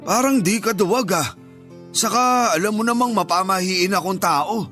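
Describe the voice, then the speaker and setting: A man's voice: high voice, normal speed, pushed and bright, faint music behind. A man playing a superstitious, bossy, uneasy uncle who scolds and nags in a Tagalog radio drama. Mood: nagging.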